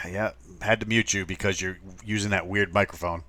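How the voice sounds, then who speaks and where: A man co-hosting a podcast, talking loudly with many hesitant fillers as he reads chat comments. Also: sits low, steady tone, normal speed, no echo. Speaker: podcast co-host reading chat. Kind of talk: casual chat.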